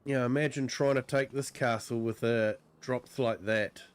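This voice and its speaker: A man with a New Zealand accent and a croaky voice from a cold, giving easygoing livestream commentary on a farming game, full of ums and uhs. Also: mid-range voice, fairly even intonation, moderate pace. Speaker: streamer. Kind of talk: relaxed livestream gameplay commentary.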